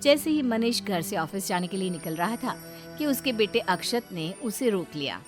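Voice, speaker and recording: Female storyteller, medium pitch, scripted narration, faint music bed. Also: big pitch swings, moderate pace.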